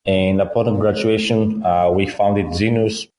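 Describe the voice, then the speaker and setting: A man in his thirties from Athens with a Greek accent, a guest explaining things in a measured way, point by point. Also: on the low side, steady tone, a little mellow. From Greece, Athens. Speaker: guest, company founder. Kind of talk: conversational interview. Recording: roomy, echoey room.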